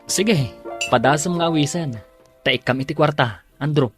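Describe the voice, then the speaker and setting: A man's voice: a little high, normal speed, music in the background, lightly acted. A man with an Ilocano accent acting as a sly friend who investigates for his pal in a radio drama. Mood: urging. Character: Magno, sly friend investigating a suspected cheater.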